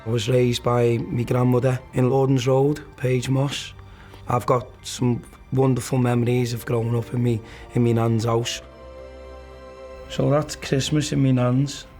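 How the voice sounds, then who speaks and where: Flat-toned man with dry humour. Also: mid-range voice, a little slow, soft background music. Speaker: interviewee. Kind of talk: documentary interview.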